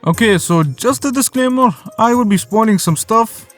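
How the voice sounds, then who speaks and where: A man's voice: high-pitched, moderate pace. A man whose first language is Arabic, narrating a scripted review in a casual, joking way as the host. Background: faint music.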